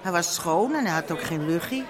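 An actress playing a confiding, relieved mother in a radio drama, talking amid loud crowd chatter. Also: fairly low pitch, lively intonation, normal speed, lightly acted. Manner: confiding, gossipy.

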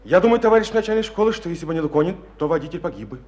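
A man's voice: high voice, lively intonation, very fast, a little mellow, lo-fi sound. An actor playing a young military cadet, earnest and urgent as he speaks up to a superior in a radio drama. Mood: urgent reporting. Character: young male military cadet, earnest, loyally pleading for a friend. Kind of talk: Russian radio drama acting.

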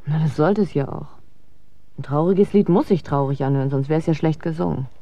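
A woman's voice: low voice, brisk, dark tone, dry recording. An actress playing a weary, sardonic, despairing young woman in a radio drama, with blunt, dry retorts. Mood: dry, defiant. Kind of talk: German radio drama acting. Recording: dull lo-fi sound, faint music.

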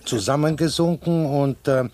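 An actor playing a courteous, talkative man with a husky voice and a hesitant, hemming way of speaking, in a radio drama. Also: fairly high pitch, mellow, close to an everyday voice. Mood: awkward, hesitant. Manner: halting, hemming, polite. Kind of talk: 1980s German radio drama acting.

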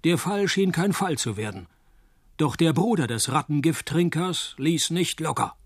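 An actor playing a cynical, wisecracking male private detective in a radio play, narrating and talking in a dry, laconic deadpan. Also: fairly high pitch, unhurried, husky, no room sound, exaggerated voice. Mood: dry, sardonic.

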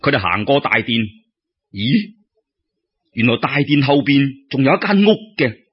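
Male Cantonese radio storyteller: husky, animated, colloquial narration with exclamations; dull lo-fi recording. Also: mid-range voice. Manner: colloquial, expressive, with exclamations and drawn-out particles. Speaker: storyteller, radio show host.

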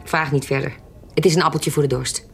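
An actress playing a shrewd, self-possessed adventuress in a Dutch radio drama, guarded and evasive. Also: female voice, low voice, steady tone, brisk, creaky, soft background music. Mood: calm, guarded.

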